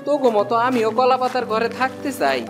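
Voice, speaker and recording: A man's voice: very high voice, sing-song, brisk, over music. A man playing a friendly, brotherly village neighbour in a cartoon, folksy and familiar. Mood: calling, pleading. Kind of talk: cartoon dialogue acting.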